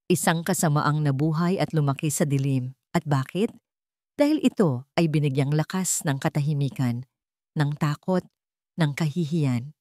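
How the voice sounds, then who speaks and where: A woman's voice: deep voice, normal speed, a little mellow, dry recording, clean studio sound, lightly acted. A woman voicing a stern, solemn king in a dramatic story, speaking with cold, grave authority. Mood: solemn, reflective. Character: stern, solemn male king passing judgment.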